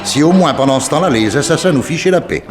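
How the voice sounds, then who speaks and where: An actor in a 1960s French radio drama playing an excitable, chatty Parisian police official with an emphatic, theatrical delivery. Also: male voice, on the high side, quick pace, over music, natural voice. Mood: exasperated, grave.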